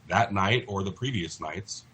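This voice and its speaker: Low-voiced American man, explaining over background noise. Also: unhurried, a bit bright. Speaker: interview guest, lawyer in a class action. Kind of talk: explanatory interview talk.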